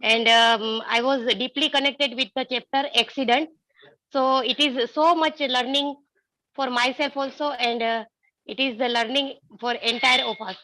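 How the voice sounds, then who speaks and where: A woman's voice: high-pitched, fairly even intonation, normal speed, pushed and bright, dry recording, poor audio. An Indian woman giving a spontaneous testimonial as an audience participant.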